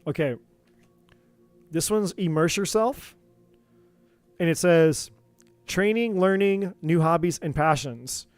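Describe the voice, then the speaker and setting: A man doing a live tarot reading, talking in an earnest, encouraging way with many repeats, his voice going a bit hoarse. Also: fairly high pitch, slow pace, long pauses, no room sound, soft background music. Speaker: tarot reader.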